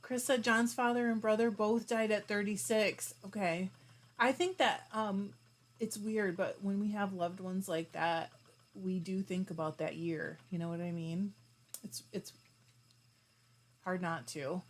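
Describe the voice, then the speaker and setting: A woman's voice: mid-range voice, fairly even intonation. Chatty woman hosting a craft live stream. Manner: chatty and warm, with frequent laughs and quick asides; talks while spinning yarn. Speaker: host of a fiber arts live stream. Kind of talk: casual live-stream chat, reading viewer comments aloud.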